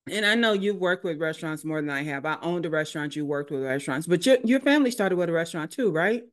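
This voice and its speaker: A woman hosting a casual podcast chat, relaxed and conversational, steering the guest with questions. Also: low-pitched, quick pace. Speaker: podcast host.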